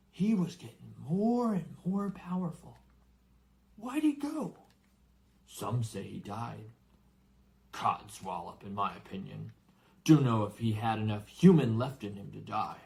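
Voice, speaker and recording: A gruff but big-hearted giant, acted out by a male narrator, growling and fiercely loyal. He talks in rough rustic dialect, as in a storybook reading. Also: on the low side, sing-song, unhurried, a little mellow, exaggerated voice. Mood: grave, confiding. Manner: rustic, colloquial, dropped words and dialect grammar.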